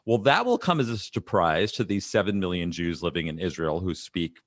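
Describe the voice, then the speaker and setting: A man co-hosting a podcast in casual political banter, with a sarcastic, incredulous and animated manner. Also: deep voice, fairly quick, no room sound. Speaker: podcast co-host.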